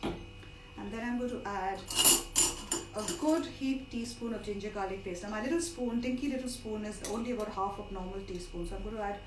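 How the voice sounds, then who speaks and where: A woman's voice: medium pitch, fairly quick, over loud music. A middle-aged woman from India with a partly lost voice, chattily leading an informal live cooking demonstration with frequent asides. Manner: chatty, digressive instruction with asides. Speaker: cook hosting a live cooking class. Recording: roomy, distant mic with background noise.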